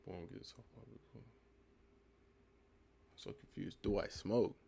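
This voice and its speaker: Chill young guy on a live stream, really low voice with some gravel, calm and reflective. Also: measured pace, slight background noise. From United States. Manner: calm, laid-back, reflective, reads chat questions aloud. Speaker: streamer answering live chat. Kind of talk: casual live stream chat.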